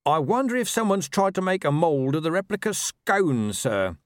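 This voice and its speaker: A man's voice: fairly high pitch, very animated, normal speed, no room sound, studio quality, voice pushed up. A man voicing a dutiful, plodding police constable who speaks hesitantly and deferentially in a storybook reading. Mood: earnest, deferential.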